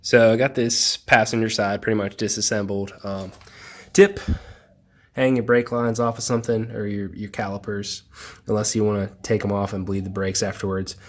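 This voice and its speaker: A man's voice: fairly low pitch, normal speed. A young man with a congested voice from a cold, casually talking through work on his project car in a home garage, with lots of ums and tips. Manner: relaxed, rambling, lots of ums, offering tips. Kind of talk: casual garage build vlog.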